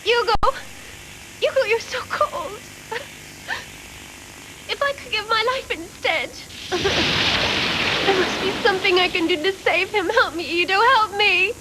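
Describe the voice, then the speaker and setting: A woman's voice: extremely high pitch, unhurried, pauses often, noisy background. A woman voicing a devoted, fierce young cyborg warrior girl in an anime dub, earnest and dramatic. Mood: desperate, pleading. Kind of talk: dubbed anime voice acting. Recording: music behind, old VHS tape dub.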